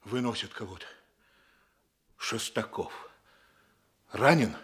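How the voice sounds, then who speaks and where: An actor playing a brisk, fatherly police chief in a radio play, authoritative and expressive. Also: on the low side. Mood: urgent. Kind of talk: Soviet radio play acting.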